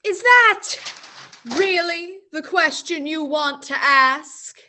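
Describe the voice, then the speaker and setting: A woman's voice: extremely high pitch, animated, takes it slowly, background noise, heavily acted. A woman playing a brash, boastful young female mayor in a school radio play. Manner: swaggering, boastful.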